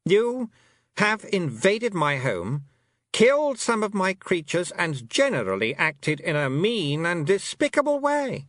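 A man voicing a haughty, cold fairy queen who talks in a silky, condescending, drawn-out way. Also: high voice, very animated, a bit bright, no echo, clean studio sound, voice pushed up, exaggerated voice.

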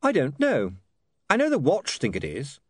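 A man voicing a defiant young newspaperman with sharp, quick comebacks. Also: fairly high pitch, huge pitch swings, breathy edge, no room sound, clean studio sound, voice pushed up, exaggerated voice.

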